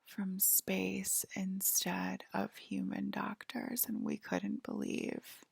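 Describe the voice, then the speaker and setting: A woman softly whispering an ASMR roleplay to the listener as a polite, attentive alien abductor, pausing often. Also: mid-range voice, flat delivery, gravelly, breathy edge, no echo. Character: polite, attentive female alien abductor queen acting as medical examiner. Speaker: ASMR performer.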